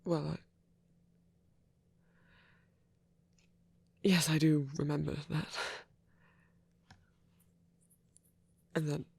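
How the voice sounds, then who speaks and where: A man performing a soft ASMR roleplay as a lonely, gentle old vampire priest, speaking haltingly and tenderly in formal words, breathy and often near a whisper. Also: on the high side, little pitch movement, dry recording. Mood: hesitant, embarrassed.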